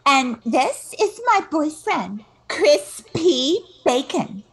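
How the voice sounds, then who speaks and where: A woman's voice: high-pitched, sing-song, heavily acted. An older woman playing a ditzy, self-satisfied young beauty queen in a comic radio play, preening and drawing out her words. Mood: proud. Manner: drawn-out, preening. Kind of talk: comic old-time radio play acting.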